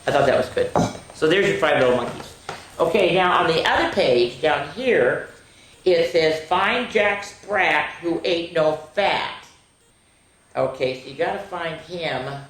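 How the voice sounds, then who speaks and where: A woman's voice: low-pitched, animated. Older woman storyteller in an echoey room. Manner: sing-song, drawn-out reading with chatty asides; addresses young listeners directly. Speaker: children's story time host. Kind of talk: reading nursery rhymes aloud to children. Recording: roomy, echoing room sound.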